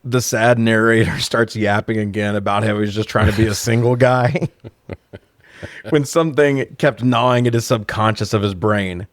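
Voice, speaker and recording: A man's voice: fairly low pitch, moderate pace, no echo, clean studio sound. A man co-hosting a podcast, giving a casual, comedic, sweary recap of a movie in slangy talk. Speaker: podcast co-host.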